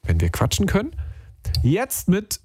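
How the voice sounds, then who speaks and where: A man's voice: high voice, huge pitch swings, a little slow, a little mellow, husky, no echo, clean studio sound, faint music behind. A man hosting a radio call-in show, chatting casually in slangy, informal language.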